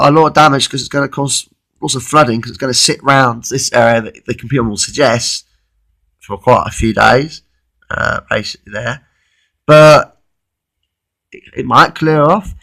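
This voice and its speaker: A man of thirty-one, losing his voice with a cold, gives an informal, halting weather forecast commentary and often repeats himself. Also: medium pitch, vocal fry, fairly bright tone. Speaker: amateur weather vlogger.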